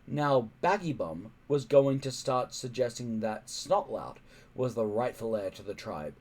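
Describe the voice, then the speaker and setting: A man's voice: mid-range voice, animated, unhurried, a little mellow. A man reading a children's story aloud in a dramatic, emphatic narrating style. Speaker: audiobook-style story reader.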